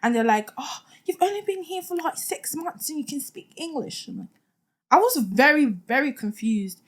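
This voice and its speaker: A young woman from Nigeria chats as a podcast guest, her voice pitched a little high. She tells her stories in an animated way, laughing often and slipping in jokes. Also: normal speed. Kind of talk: casual chat.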